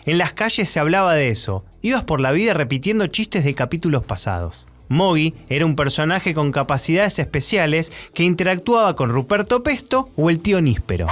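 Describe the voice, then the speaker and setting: A man's voice: a little high, big pitch swings, fairly quick. A man with an Argentine accent delivering scripted documentary narration.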